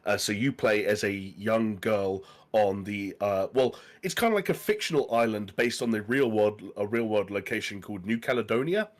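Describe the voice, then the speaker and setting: Guy on a gaming podcast, kinda low voice, rambly, lots of ums. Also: moderate pace, hardly any pauses. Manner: rambling, chatty, lots of fillers. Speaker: podcast co-host. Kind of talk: casual chat.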